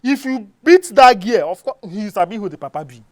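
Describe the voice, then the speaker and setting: A woman's voice: medium pitch, lively intonation, brisk, no echo, lightly acted. Woman as bossy exasperated friend, Nigerian accent, swooping intonation, emphatic, dry close sound. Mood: stern warning. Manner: emphatic, scolding. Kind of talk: acted Nigerian Pidgin comedy dialogue.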